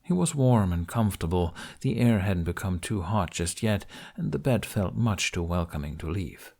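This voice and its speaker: A male audiobook narrator with a fairly low voice. He reads scripted fantasy fiction as a storyteller. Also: animated, moderate pace, vocal fry, a little mellow.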